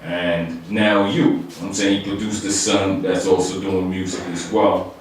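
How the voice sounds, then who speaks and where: A man hosting a radio show, interviewing a guest in a relaxed, conversational way with some fillers. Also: low-pitched, a little slow. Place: radio studio. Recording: very echoey room, distant mic, faint noise.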